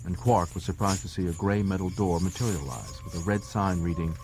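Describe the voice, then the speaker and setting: Low-voiced man narrating dramatic fiction. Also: a little fast, music in the background. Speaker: audiobook narrator.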